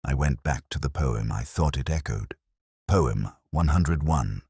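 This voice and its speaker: Very deep-voiced brooding male narrator. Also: lively intonation, darkish tone, breathy edge, no room sound, studio quality. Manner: measured, brooding, deliberate pauses. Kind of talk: first-person detective story narration.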